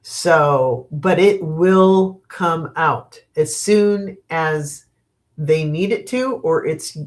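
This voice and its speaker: A middle-aged American woman with a sniffly, stuffed-up voice, hosting a live tarot stream at home, rambling slowly with fillers and restarts and laughing at her own asides. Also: deep voice. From United States. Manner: slow, rambling, thinking aloud with many fillers and restarts. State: sniffly from allergies. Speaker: tarot reader and channel host. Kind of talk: live-stream tarot reading, casual chat.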